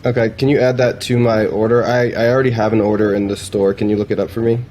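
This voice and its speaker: Mellow, deep-voiced man chatting casually. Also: flat delivery, quick pace, slight background noise. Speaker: video host demonstrating AI voice agents. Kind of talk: casual tutorial chat.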